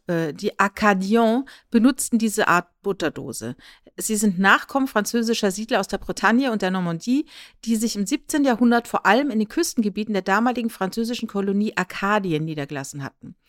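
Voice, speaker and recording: Female podcast co-host, medium pitch, casual conversational delivery, very dry recording. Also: a bit bright, no room sound.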